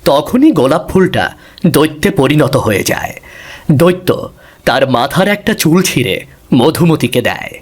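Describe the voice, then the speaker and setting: A man's voice: fairly high pitch, very animated, unhurried, husky. A man narrating a scripted fairy tale for a cartoon.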